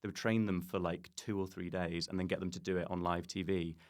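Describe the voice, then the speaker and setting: A man's voice: low voice, little pitch movement, fairly quick. A British man chatting casually as a comedy podcast guest, in a rambling, enthusiastic way.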